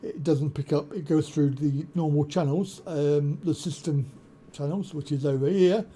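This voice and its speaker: A man hosting a tech tutorial, explaining a software setup step by step with frequent pauses and ums on a desktop USB mic at his home computer desk. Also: on the high side, slight background noise. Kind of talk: screen-share software walkthrough. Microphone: desktop USB condenser mic.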